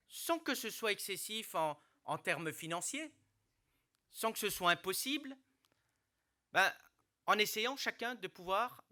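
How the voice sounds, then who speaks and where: A man's voice: high-pitched, bright, forceful tone. Earnest man giving a formal speech. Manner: earnest, measured, restarts phrases and stresses key words. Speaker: mayor giving a New Year speech. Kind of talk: formal public speech with hesitations. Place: hospital hall.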